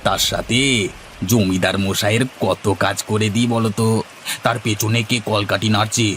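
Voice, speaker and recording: A man's voice: lowish voice, fairly even intonation, normal speed, a bit bright, a little hiss or hum, natural voice, poor audio. Wheedling, lazy village schemer played by a man. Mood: scheming. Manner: wheedling, hemming, theatrical. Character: lazy, greedy, scheming village husband, fawning flatterer. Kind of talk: Bengali cartoon dubbing.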